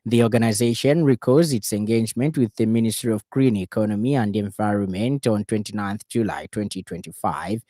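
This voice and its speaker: A man's voice: lowish voice, warm tone, dry recording. A man with a Zambian accent reading a scripted radio news bulletin in a studio.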